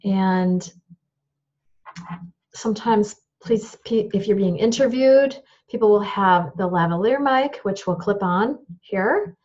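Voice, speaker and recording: Woman, high voice, voice teacher, practical demonstrating lesson, slightly muffled lo-fi recording. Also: a little mellow. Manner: practical, demonstrating equipment as she explains. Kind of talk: instructional talk to camera.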